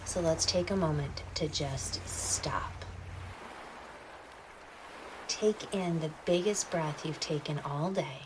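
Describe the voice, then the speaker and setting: Female meditation guide, lowish voice, unhurried and soothing, short instructional phrases, clear ambient noise behind.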